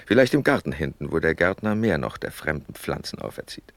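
A witty, loyal young count at a Prussian court in a classical radio drama. His voice is deep and creaky, with wry, declamatory verse that rises and falls widely. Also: sing-song, brisk, no room sound, full character voice. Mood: wry, assuring.

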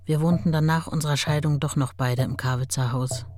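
Actress as a candid, worn-out ex-wife over music. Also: female voice, low voice, steady tone, a little fast, darkish tone, natural voice. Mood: sober, candid. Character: worn-out, candid ex-wife recalling her alcoholic husband. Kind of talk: radio drama, testimony-like recollection.

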